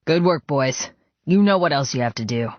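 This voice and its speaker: A man's voice: a little high, lively intonation, normal speed, dry recording, highs cut off, close to an everyday voice. A man playing a tough male biker gang leader who talks in a curt, commanding manner.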